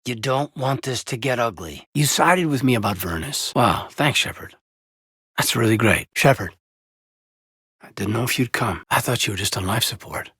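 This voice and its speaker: A loyal, wounded soldier in a cinematic game, speaking in a husky mid-range voice. His delivery is sincere and measured, with hesitant pauses and expressive swings in pitch. The sound is close and dry. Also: no room sound, exaggerated voice. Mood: earnest, reflective. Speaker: video game character, military officer. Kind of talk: cinematic video game dialogue acting.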